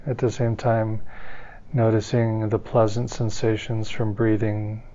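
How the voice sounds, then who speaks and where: A man with a low, very mellow voice leads a guided meditation. His delivery is calm and gentle, staying very even in tone from phrase to phrase. The recording is slightly dull and muffled. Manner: calm, gentle, unhurried guiding. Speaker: meditation teacher.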